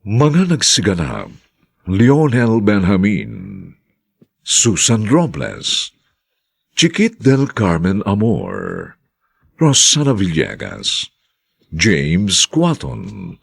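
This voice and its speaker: A man narrating and announcing a radio drama in a theatrical, deliberate, scripted style. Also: on the low side, huge pitch swings, creaky, husky.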